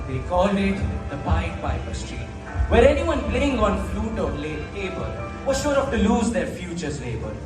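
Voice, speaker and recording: A man's voice: sits high, big pitch swings, normal speed. A young male narrator reciting verse theatrically in a school play, heard from a distance in an echoey space with music.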